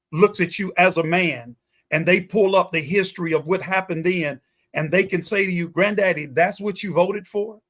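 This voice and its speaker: High-pitched, emphatic preaching man. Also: fairly bright tone. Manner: emphatic, uses repetition. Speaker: guest pastor and teacher. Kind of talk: impassioned talk-show discussion with preaching cadence.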